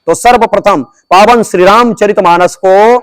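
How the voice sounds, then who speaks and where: A man with a high-set voice recorded close and dry, speaking as a religious leader at a press conference. He talks in an emphatic, preachy way and repeats phrases to drive his points home. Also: unhurried, clean tone, dry recording, rough recording.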